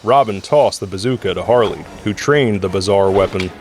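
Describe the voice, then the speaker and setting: Low-voiced man narrating dramatically. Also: normal speed, a little hiss or hum. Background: music score.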